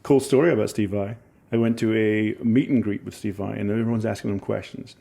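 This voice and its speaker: A man in his forties with a Scottish accent softened by years in Ohio, a musician chatting in an interview and telling rambling, enthusiastic stories with self-mocking laughs. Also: on the low side, fairly even intonation, fairly quick, creaky. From Scotland. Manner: rambling, enthusiastic storyteller who laughs at himself. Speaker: musician guest. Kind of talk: casual interview chat.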